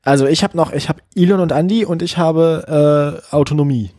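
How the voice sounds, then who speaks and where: Male podcast co-host, husky edge, chatty with ironic quick comebacks. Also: fairly high pitch, warm tone. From not from Berlin. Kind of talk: casual news chat.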